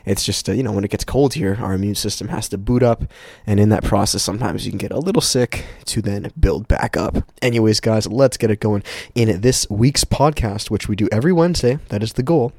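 A man hosting a casual motivational podcast, speaking informally and emphatically, his voice slightly raspy and prone to cracking as he gets over a cold. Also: fairly low pitch, a little fast, a little mellow, no room sound, clean studio sound. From Canada. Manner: informal, emphatic, addresses listeners as guys, with profanity. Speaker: podcast host.

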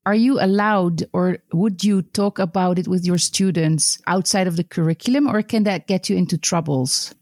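A woman's voice: lowish voice, normal speed, few pauses, darkish tone, dry recording, studio quality. A woman hosting an interview in a conversational, explanatory way. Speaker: podcast host, history teacher.

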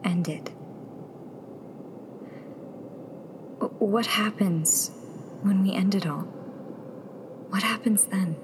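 A woman playing an anxious, sardonic rebel tech in a sci-fi radio drama, halting and breathy with nervous sarcasm. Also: mid-range voice, noisy background, close to an everyday voice. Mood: bleak, weary.

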